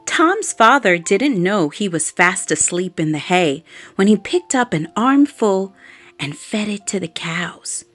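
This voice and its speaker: A woman reading a children's story aloud, expressive and adding playful little asides to her listeners. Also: medium pitch, unhurried. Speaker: storybook reader. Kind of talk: bedtime story read-aloud. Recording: faint background music.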